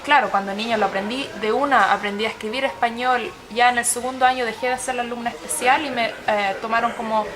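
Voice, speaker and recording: Young woman, Chilean Spanish accent, Swedish first language, slightly higher pitch, hesitant interviewee. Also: fairly even intonation, fast talker, few pauses, background noise. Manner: thoughtful, with frequent hesitations. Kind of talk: casual interview.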